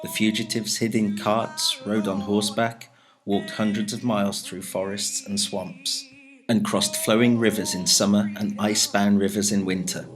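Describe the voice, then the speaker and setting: A man narrating a scripted historical afterword in a measured, explanatory reading, recorded in a school studio. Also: lowish voice, little pitch movement. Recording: narration over loud music. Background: music playing under the voice.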